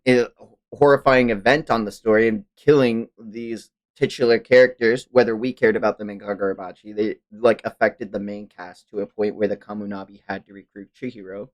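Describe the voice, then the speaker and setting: Man, mid-pitched voice, casual streamer chat, slangy, sweary, hyperbolic fan host. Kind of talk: casual livestream chat about manga.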